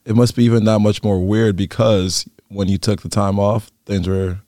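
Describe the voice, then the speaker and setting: A man's voice: lowish voice, little pitch movement, normal speed, dark tone, dry recording, studio quality. A young man hosting a student podcast, asking his guest easygoing, chatty questions. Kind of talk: casual interview hosting.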